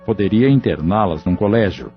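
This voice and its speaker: A man narrating an audio drama in a measured, solemn way. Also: fairly low pitch, lively intonation. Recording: dull lo-fi audio with faint music.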